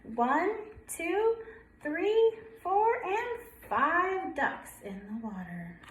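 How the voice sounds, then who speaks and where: A woman's voice: extremely high pitch, big pitch swings, extremely slow. A woman preschool teacher reading a picture book aloud to young children, prompting with questions and sing-song counting. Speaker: preschool story-time teacher. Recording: roomy echo.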